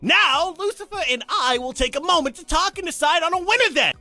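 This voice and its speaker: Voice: extremely high pitch, expressive, fairly quick, bright, forceful tone, faint music behind, heavily acted. An actor playing a flamboyant, teasing demon master of ceremonies in a visual novel, announcing with theatrical relish. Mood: mock amazed. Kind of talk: character acting in a visual novel.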